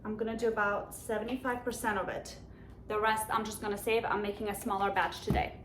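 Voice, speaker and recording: A woman's voice: mid-range voice, flat delivery, brisk. A woman with a Polish accent hosting a home cooking show, giving chatty, enthusiastic step-by-step instructions in her kitchen. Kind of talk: cooking demonstration. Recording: echoey kitchen with background noise.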